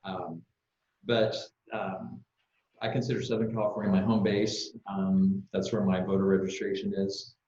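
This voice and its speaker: A middle-aged American man with a lowish voice talks casually as a panelist. He is halting, often restarting his words. The sound is echoey and somewhat muffled. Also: little pitch movement, dark tone. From Kansas, United States. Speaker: panelist, business strategy consultant. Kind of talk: casual self-introduction on a panel. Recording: echoey, dull online call audio.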